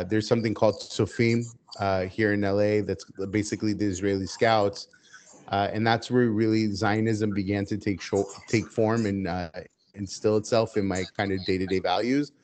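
A fairly low-pitched man whose first language was Hebrew speaks in a measured, even way as an interview guest. He pauses on "uh" often but keeps a calm, businesslike flow. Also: slight background noise. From United States. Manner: measured, steady, frequent "uh" fillers. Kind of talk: casual interview answers.

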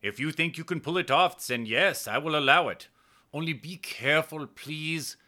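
Man voicing a lovesick, defeated space officer, bright-edged. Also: fairly high pitch, voice pushed up. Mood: pleading, defeated. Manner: pleading, halting repetitions, courtly endearments. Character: lovesick senior male space officer, reluctantly resigned, tender.

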